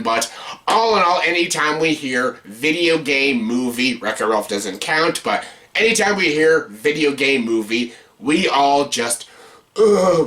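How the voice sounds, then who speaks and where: A man's voice: mid-range voice, bright, forceful tone. A man hosting a casual ranked-list movie review, blunt, profane and exasperated, repeating himself for emphasis. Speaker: video host, amateur movie reviewer.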